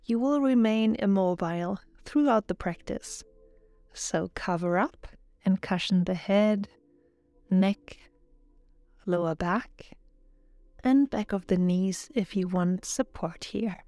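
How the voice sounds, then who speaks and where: A woman narrating a guided relaxation meditation in a calm, soothing, measured way, as a yoga nidra guide. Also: medium pitch, no echo. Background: background music.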